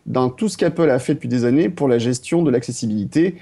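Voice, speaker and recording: A man on a tech panel rambles through his points, stacking fillers and restarts. His tone is mellow and dark, at a middle pitch. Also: fast. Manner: rambling, filler-laden explanations. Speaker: panel guest. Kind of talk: casual panel discussion.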